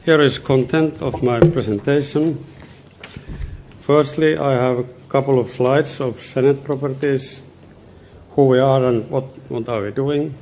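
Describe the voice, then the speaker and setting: Middle-aged male presenter, Finnish accent, mellow relaxed tone, measured formal delivery. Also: mid-range voice, a little hiss or hum. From Finland. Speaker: conference speaker, senior advisor.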